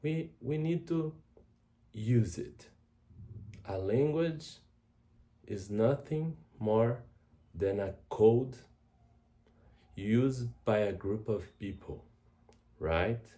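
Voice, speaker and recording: A Brazilian man with a Brazilian Portuguese accent, a native Portuguese speaker, introducing a travel language course as tutor and speaking slowly and deliberately, often repeating words. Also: fairly low pitch, mellow.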